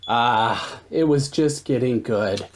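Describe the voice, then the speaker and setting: A man's voice: medium pitch, slow pace, a little hiss or hum, lightly acted. A man playing a wry, impatient radio host.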